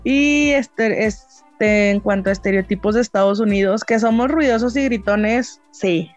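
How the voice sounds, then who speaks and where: A woman's voice: mid-range voice, unhurried, lo-fi sound, soft background music. A woman from northern Mexico with a northern Mexican accent, chatting frankly and firmly as a guest. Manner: frank, firm and talkative. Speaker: podcast guest. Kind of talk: casual chat.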